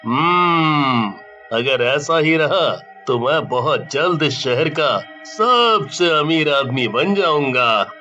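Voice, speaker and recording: Man voicing slow, high-pitched cartoon village cook. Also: animated, pushed and bright, faint music behind, exaggerated voice. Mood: sly gloating. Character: jovial, scheming village biryani cook, husband. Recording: dull, muffled lo-fi audio.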